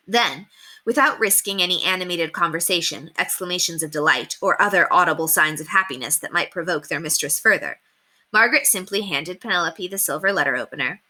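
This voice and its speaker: A woman giving an expressive, dramatic narration of a children's novel, with deliberate phrasing. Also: fairly low pitch. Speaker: storybook reader.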